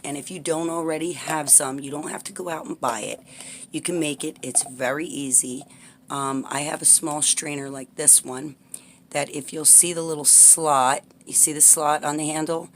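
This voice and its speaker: Brief: raspy-voiced woman, home tutorial host, chatty and rambling, filmed over a tabletop. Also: low-pitched, slight background noise. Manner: chatty, rambling, frequent "okay?" check-ins. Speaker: herbal salve maker demonstrating a recipe. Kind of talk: casual how-to tutorial. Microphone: camera pointed at the table, speaker off-frame.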